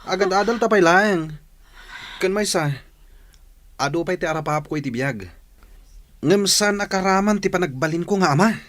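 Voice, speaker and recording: Casting: evasive young student unready for fatherhood, radio drama, Filipino accent, slightly high voice. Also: normal speed, pauses often, fairly bright tone. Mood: agitated, defensive. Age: young adult. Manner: defensive, protesting.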